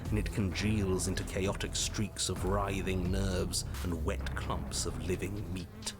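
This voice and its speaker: An actor playing a stern, aloof male narrator in a video game, speaking in measured, formal, dramatic lines. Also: sits low, flat delivery, very noisy. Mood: grave, descriptive. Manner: measured, formal, exasperated when contradicted. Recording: loud background music under voice. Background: game music.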